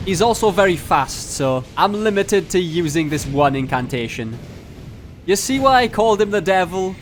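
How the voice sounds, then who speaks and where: A man's voice: high-pitched, lively intonation, normal speed, slight background noise. A man narrating his gameplay as a video host, mixing mock-epic roleplay with casual, profane jokes. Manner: profane, joking. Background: music.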